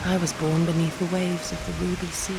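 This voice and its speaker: An earnest young female shinobi in a game cutscene. Her voice sits fairly low for a woman, and she speaks with formal, measured gravity and loyal conviction, over music. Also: little pitch movement, vocal fry, poor audio. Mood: quiet, reflective. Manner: formal, measured, theatrical speech. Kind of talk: dramatic video game acting.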